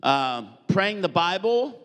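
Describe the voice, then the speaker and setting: A middle-aged Canadian-born man preaching in short phrases, joking with the congregation and pausing for an interpreter. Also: sits high, very animated, a bit bright, clear, resonant tone. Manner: jovial, joking, pausing for translation. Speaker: visiting preacher. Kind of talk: sermon with live interpreter. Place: church hall. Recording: church hall reverb.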